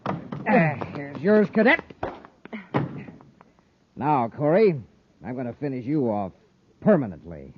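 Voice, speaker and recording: Menacing radio villain, noisy recording. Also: male voice, medium pitch, very animated, takes it slowly, long pauses, lo-fi sound. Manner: mock-polite, threatening. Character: smooth-talking, menacing male villain. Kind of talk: 1950s radio serial acting.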